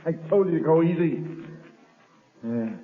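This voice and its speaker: An actor playing a panicky, rough-spoken male henchman in a 1930s radio drama. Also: on the high side, animated, moderate pace, roomy sound, in a large hall, background noise, lightly acted. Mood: nervous. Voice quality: rough, frantic. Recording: tinny old 1930s radio broadcast.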